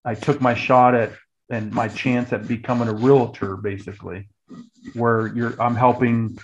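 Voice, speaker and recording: Halting American man in his forties, slightly dull audio. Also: mid-range voice, flat delivery. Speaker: guest, real estate agent. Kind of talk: casual conversational storytelling.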